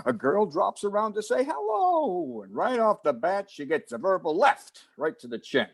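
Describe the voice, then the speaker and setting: A man playing a teasing, sardonic homicide inspector with a husky voice, bantering mock-dramatically in an old-time radio style. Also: high voice, huge pitch swings, normal speed, exaggerated voice. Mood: teasing, mock-hurt.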